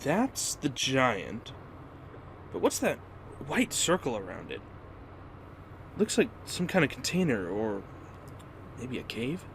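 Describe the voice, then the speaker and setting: A man's voice: medium pitch, wildly swooping pitch, slow pace, gravelly, pauses often, a bit bright, slight background noise, full character voice. A man playing a moody, reluctant young fighter in an audio drama, youthful and emotional. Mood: curious. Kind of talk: fan audio drama acting.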